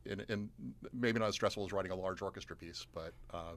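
A man's voice: low voice, steady tone, fast, fairly bright tone. A man from the American South, a playwright, talking candidly in a radio interview. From southern United States. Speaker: playwright and teacher.

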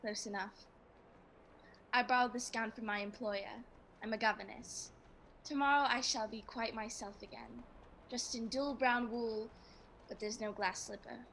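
A woman playing a shy, self-mocking young governess in a radio play, gentle and hesitant. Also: a little high, fairly even intonation, unhurried, pauses often, a little hiss or hum, rough recording. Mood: shyly playful.

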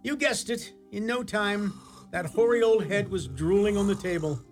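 High-voiced male storyteller, theatrical and wry. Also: moderate pace, soft background music. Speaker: narrator. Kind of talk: dramatic storybook narration. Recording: clearly noisy background.

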